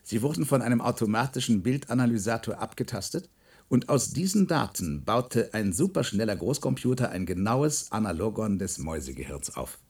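An actor playing a self-assured, middle-aged scientist who explains in a measured, didactic way in a German science-fiction radio play. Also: male voice, on the low side, big pitch swings, a little mellow. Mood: self-assured, explanatory. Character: self-assured male scientist, explanatory, slightly evasive project leader.

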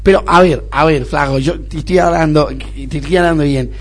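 A man's voice: on the high side, moderate pace. A man playing a spoiled, snobbish young Argentine businessman in a radio comedy-drama, whining, stammering and repeating himself. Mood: frustrated. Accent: Argentine (Rioplatense).

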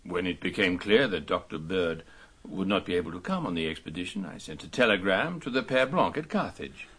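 Low, husky scholarly doctor. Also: male voice, lively intonation, fairly quick, a bit bright, full character voice. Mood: earnest, explaining. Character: scholarly male expedition leader, earnest and troubled. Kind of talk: radio drama acting.

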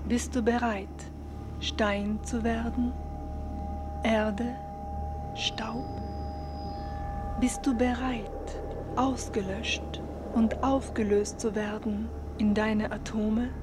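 A woman's voice: a little high, fairly even intonation, extremely slow, pauses often. A woman acting a solemn oracle in a poetic radio drama, speaking in grave, fateful questions. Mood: solemn, challenging. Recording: clear ambient noise behind voice.

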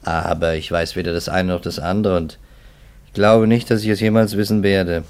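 An actor playing a skeptical, weary police investigator in a 1950s German radio drama, deliberate, guarded and matter-of-fact. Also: male voice, low voice, flat delivery, darkish tone, clean tone. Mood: measured, skeptical.

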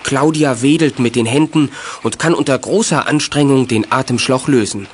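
A man's voice: medium pitch, moderate pace, slight background noise, natural voice. A man narrating a children's detective radio play in scripted, suspenseful style. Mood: suspenseful, descriptive.

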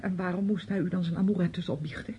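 An actress playing a sensible, exasperated younger niece, speaking tersely and impatiently in radio drama style. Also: female voice, on the low side, steady tone, quick pace, mellow, slightly rough, muffled recording. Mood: reproachful. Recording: faintly noisy old radio recording.

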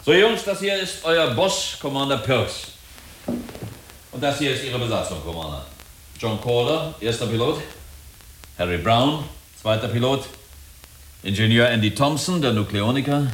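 An actor playing a glib company representative in a German radio drama, polite, wordy and officious. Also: male voice, mid-range voice, fairly bright tone, a little hiss or hum. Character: glib, jovial, evasive male company representative. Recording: roomy, echoing studio scene.

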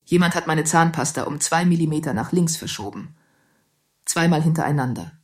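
A paranoid, dread-filled spacewoman played by an actress in a radio satire. Her voice is very low and swings dramatically in pitch, ominous and sleepless. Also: lively intonation, brisk.

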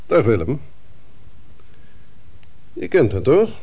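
An actor playing a fatherly but sceptical family doctor in a Dutch radio play, questioning deliberately with thoughtful hums. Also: mid-range voice, big pitch swings, slightly rough, highs cut off, exaggerated voice. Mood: probing, friendly.

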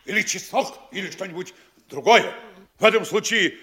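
A man's voice: sits high, moderate pace, pushed and bright, breathy edge, heavily acted. An actor playing a pompous middle-aged town mayor who lectures and instructs his officials in a radio play. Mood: instructing. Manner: bossy, instructing, mock-scolding. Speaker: town governor. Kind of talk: studio radio play acting.

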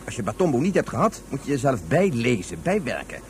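A man's voice: medium pitch, very animated, fast talker, slight background noise, natural voice. An actor playing an opinionated, impatient father who lectures dismissively about federation principles in a science fiction radio drama.

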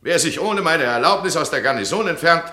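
An actor playing an imperious, hot-tempered duke in a radio drama, commanding and scornful. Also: male voice, a little high, expressive, a little fast, sharp tone. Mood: angry. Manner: commanding and scornful, swings from mocking to furious. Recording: roomy studio echo.

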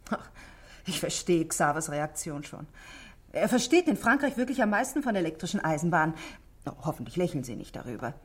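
A woman's voice: lowish voice, sing-song, brisk, slightly rough. An actress in a 1960s German radio play, playing a composed, middle-aged married woman who speaks politely and articulately, confiding her worries. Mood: earnest, worried.

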